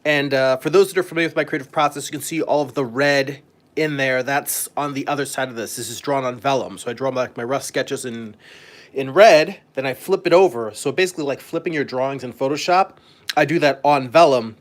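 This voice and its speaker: A man's voice: medium pitch, fairly quick. A man who works as a comic artist, chatting casually and a bit ramblingly as he hosts a live stream. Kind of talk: casual live-stream chat while demonstrating.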